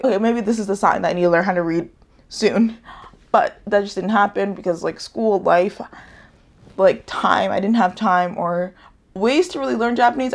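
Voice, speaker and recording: Young woman with a gravelly, rambling chat. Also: medium pitch. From United States. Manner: rambling, self-correcting, laughs often. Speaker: vlogger. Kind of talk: casual vlog chat.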